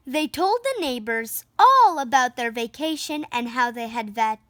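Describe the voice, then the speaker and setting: A woman reads a picture book aloud for children. Her voice is high and swoops expressively, and she takes her time, leaning into key words. The sound is close and dry. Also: dry recording. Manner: expressive, emphatic, drawn-out delivery for children. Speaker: storybook reader.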